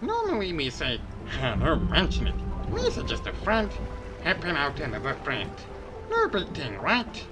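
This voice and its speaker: Bumbling, wildly swooping alien misfit. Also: male voice, on the high side, moderate pace. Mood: sheepish. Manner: rambling, pidgin baby-talk, excitable. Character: bumbling, cowardly, cheerful male frog-like alien outcast. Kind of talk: comic dub character acting. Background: music.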